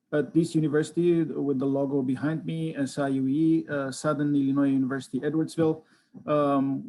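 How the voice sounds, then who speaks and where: A man from Romania, an associate professor, introducing himself from home on a video call in a measured way with frequent fillers. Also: medium pitch, warm tone, low-quality recording.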